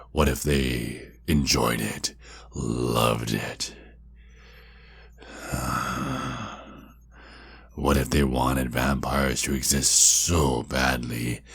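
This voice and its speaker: Sinister, taunting ancient vampire kidnapper played by a man, rock-bottom pitch, breathy husk, ASMR drama. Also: heavily acted. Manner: slow, drawn-out, mocking menace. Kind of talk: ASMR roleplay monologue.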